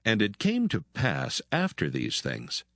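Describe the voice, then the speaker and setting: A man narrating dramatised scripture in a solemn, measured manner. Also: fairly low pitch, wildly swooping pitch, creaky, fairly bright tone, dry recording, studio quality.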